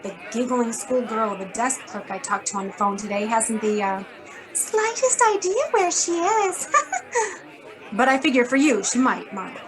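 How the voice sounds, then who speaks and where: A sardonic woman editor in a noir radio play, acted by a woman. The voice is fairly high, clipped and blunt, with some crowd murmur behind it. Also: lively intonation, moderate pace, natural voice, low-quality recording. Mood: businesslike. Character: brisk, sardonic, worried female magazine editor. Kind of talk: hard-boiled radio drama acting.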